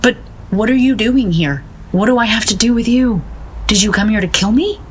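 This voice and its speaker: An actress playing a gloomy, bewildered painter in a comic audio drama, hesitant and naively deadpan. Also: female voice, a little high, normal speed, slight background noise, lightly acted. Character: gloomy, bewildered, self-doubting woman painter.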